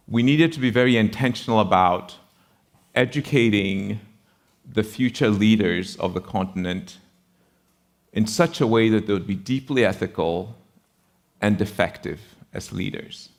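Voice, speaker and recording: Ghanaian man, middle-aged panelist. Low voice, measured and deliberate, pauses between phrases. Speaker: panelist, university founder. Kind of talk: panel discussion, explanatory speech.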